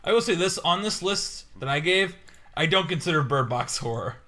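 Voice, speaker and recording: Bright-voiced man bantering wryly. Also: on the high side, lively intonation, moderate pace. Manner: wry, bantering. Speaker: podcast panelist. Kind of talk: casual joking chat.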